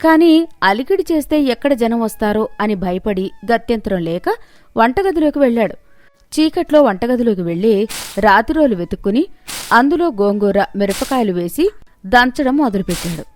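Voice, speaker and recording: A woman's voice: a little high, lively intonation, moderate pace, a little mellow. A woman storyteller reading a scripted moral story as narration.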